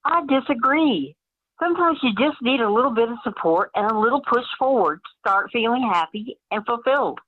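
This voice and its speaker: A woman's voice: on the high side, lively intonation, fairly quick, phone-quality sound. A woman acts out a self-assured city mayor in a radio play. She speaks in polished, upbeat political phrases, as if addressing the public from a podium. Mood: reassuring. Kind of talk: amateur radio play acting.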